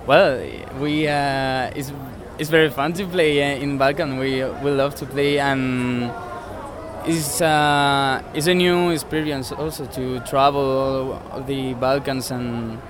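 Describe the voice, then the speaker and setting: A man's voice: medium pitch, flat delivery, takes it slowly. A man in his twenties from Spain, giving hesitant interview answers in simple English outdoors. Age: 20s. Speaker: interviewee, jazz pianist. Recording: crowd noise behind.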